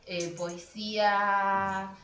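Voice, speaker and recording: A woman's voice: mid-range voice, little pitch movement, very slow, a bit bright, faint music behind. Echoey Argentine woman chatting about books. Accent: Argentine Spanish. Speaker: podcast co-host. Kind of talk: casual literary discussion. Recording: echoey room, faint background noise.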